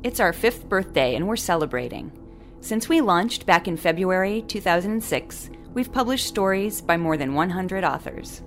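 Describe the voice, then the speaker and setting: A woman's voice: on the low side, animated, moderate pace. A woman reading a scripted podcast introduction as the host. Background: music.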